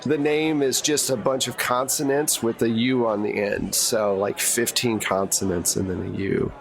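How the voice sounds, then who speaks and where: Male game master, medium pitch, improvised conversational narration for a tabletop roleplaying session. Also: fairly even intonation, normal speed, creaky.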